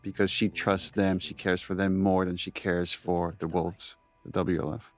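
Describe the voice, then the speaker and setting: A man's voice: low voice, level tone, normal speed, darkish tone. A man giving unscripted, reflective commentary as a game director, explaining the work as he goes. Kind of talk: unscripted behind-the-scenes commentary.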